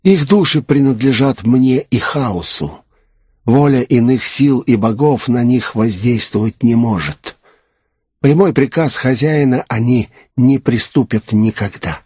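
A man's voice: mid-range voice, unhurried, darkish tone, breathy edge, dry recording, studio quality. A man voicing an assured, composed naga necromancer who explains his schemes in an audiobook. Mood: calm, reassuring.

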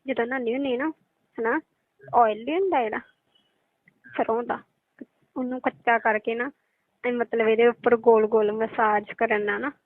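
High-voiced Punjabi woman on a phone line. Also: steady tone, normal speed, pauses often, no room sound. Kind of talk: intimate casual phone chat. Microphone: telephone. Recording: narrowband tinny phone-call audio.

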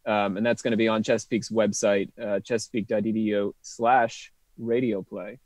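A man's voice: fairly low pitch, level tone, normal speed, darkish tone, no echo. A man in his thirties, a theatre teacher, talking casually in an interview over a video call. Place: indoor room at home, on a video call.

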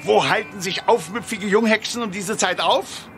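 A man's voice: high voice, normal speed, a bit bright, soft background music. A man playing a pompous, irritable town mayor in a children's audio drama, blustering and indignant. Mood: indignant, flustered. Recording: radio play with faint background noise.

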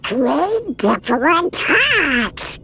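A hot-tempered cartoon duck for a video game. The voice is a high, bright, garbled squawk full of creak, quacky and bossy in every phrase. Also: male voice, sing-song, drawn-out words, full character voice. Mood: exasperated.

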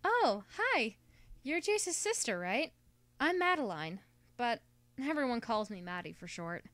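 Woman acting a chatty, feisty girl, clear voice. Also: a little high, very animated, unhurried, fairly bright tone, dry recording. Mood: friendly, awkward. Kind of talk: amateur animated drama acting.

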